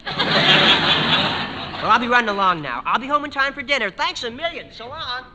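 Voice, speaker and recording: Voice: mid-range voice, expressive, fairly quick, pushed and bright, muffled recording. A performer playing an earnest, chatty fourteen-year-old American boy in a vintage radio comedy. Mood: excited. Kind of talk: 1940s-50s American radio comedy acting. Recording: noisy vintage radio broadcast.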